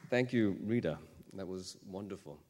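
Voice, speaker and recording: A man from Pakistan, a novelist giving an unhurried, thoughtful acceptance speech at an award ceremony in a large hall. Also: deep voice, animated, dark tone, highs cut off, a faint crowd, slight background noise. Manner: thoughtful, unhurried, speaking off the cuff. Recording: echoey hall reverb.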